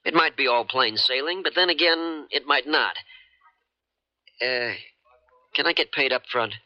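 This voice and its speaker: An actor playing a cynical, cash-strapped American charter boat skipper in a 1970s radio drama, wry and offhand. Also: male voice, medium pitch, unhurried, bright, forceful tone. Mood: reluctant. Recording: dull 1970s radio broadcast recording.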